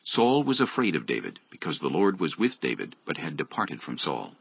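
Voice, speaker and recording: A man narrating scripture dramatically from a script, in a gravelly, husky voice. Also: very deep voice, huge pitch swings, moderate pace, dry recording, dull audio.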